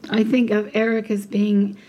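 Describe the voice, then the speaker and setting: A woman hosting a radio interview, steering with questions and quick mm-hmms. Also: mid-range voice, completely flat delivery, warm tone, lo-fi sound, slight background noise. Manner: prompting, with frequent mm-hmms. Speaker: radio host. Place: radio studio.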